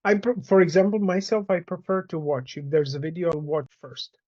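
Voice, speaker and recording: A man joining a casual video call, chatting in a relaxed, agreeable way. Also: on the high side, fairly quick, no room sound, muffled recording. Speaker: call participant. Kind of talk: casual chat.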